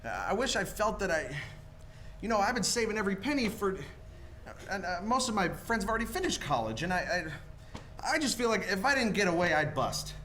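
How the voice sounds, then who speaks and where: A man's voice: high voice, sing-song, a little fast, bright, forceful tone, a little hiss or hum, full character voice. An actor playing an earnest, restless young small-town man in a 1940s radio play, with a hesitant, folksy, stammering delivery. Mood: pleading, restless. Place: live church stage performance.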